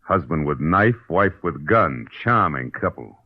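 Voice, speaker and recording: A man's voice: a bass voice, expressive, a little slow, husky, no echo, heavily acted. An actor playing a tough, wisecracking private detective in an old-time radio drama, sardonic and clipped, narrating his own case. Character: hard-boiled private detective, tough, wisecracking adult man. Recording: dull old radio recording, music behind.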